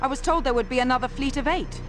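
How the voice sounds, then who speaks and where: A woman's voice: high-pitched, quick pace, lightly acted. An actress playing a proud, defiant young Templar noblewoman in a video game, haughty and sarcastic in her delivery. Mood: indignant. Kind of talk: video game cutscene acting. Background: music.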